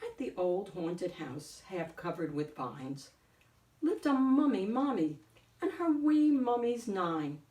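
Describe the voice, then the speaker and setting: A woman reading a picture book aloud as host, in a deliberate, theatrical storytelling way. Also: mid-range voice, sing-song, mellow, recorded from a distance. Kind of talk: expressive picture-book narration. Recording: echoey room, off the mic.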